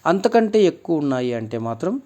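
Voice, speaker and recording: Man as a calm, fatherly ashram guru. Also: a little high, fairly quick. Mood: firm. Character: calm male swamiji, wise guru of an ashram.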